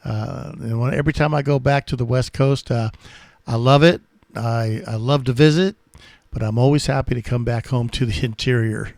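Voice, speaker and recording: A man's voice: medium pitch, steady tone, normal speed, creaky, darkish tone, no room sound, clean studio sound. A man in his sixties with an American accent, chatting casually as an amateur radio operator. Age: 60s. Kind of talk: casual ham radio chat.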